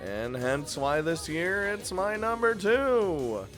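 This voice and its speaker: A man's voice: high voice, big pitch swings, takes it slowly, fairly bright tone. Casual male game reviewer over music. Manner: informal, chuckles often between phrases. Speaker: host of a video game review series. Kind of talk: casual countdown review commentary.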